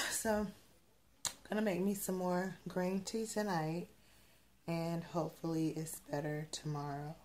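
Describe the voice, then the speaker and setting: A woman in her twenties with a hoarse voice she is losing, chatting casually and rambling with lots of fillers as she vlogs to a phone camera at arm's length. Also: on the low side, level tone, unhurried, slight background noise. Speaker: vlogger. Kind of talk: casual vlog chat. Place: parked car, then a bedroom.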